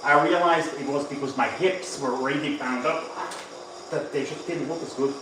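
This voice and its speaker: A man who was born in Tennessee and grew up in Ireland talks loosely and chattily, a bodybuilding coach answering his live chat between sets. His voice sits at an ordinary middle pitch. Faint gym noise runs behind him. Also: normal speed. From born in Tennessee, raised in Ireland. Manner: chatty, rambling, answers chat while training.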